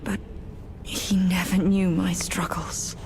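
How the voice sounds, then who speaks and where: A woman's voice: lowish voice, flat delivery, slow, a little mellow, a little hiss or hum, low-quality recording. A woman voicing a proud, stern demoness queen and mother who speaks coldly and commandingly in a game cutscene. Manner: cold and commanding. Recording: music behind the voice.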